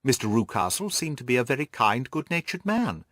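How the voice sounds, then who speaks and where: Man voicing an earnest, animated young governess. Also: mid-range voice, brisk, dry recording, studio quality. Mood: hopeful, pleading. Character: earnest, anxious young Victorian governess telling her story.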